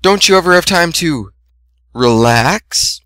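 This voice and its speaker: A man voicing a timid, polite young female pegasus with a gentle, hesitant manner in a story reading. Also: on the high side, expressive, slow, no echo, clean studio sound, pitched above the performer's own voice. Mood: coyly admiring.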